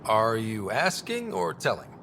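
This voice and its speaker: An actor playing an earnest, easygoing young human explorer in a video game, with a polite, wry, conversational manner. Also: male voice, on the low side, wildly swooping pitch, a little slow, fairly bright tone, slight background noise, full character voice. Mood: calm, wry. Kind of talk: video game dialogue acting.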